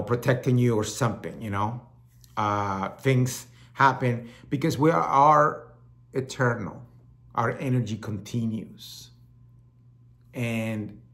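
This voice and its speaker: A middle-aged man from El Salvador with a Salvadoran Spanish accent, hosting a talk show in a casual, rambling monologue that often repeats words. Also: medium pitch. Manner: rambling, repeats words, acts out quoted voices.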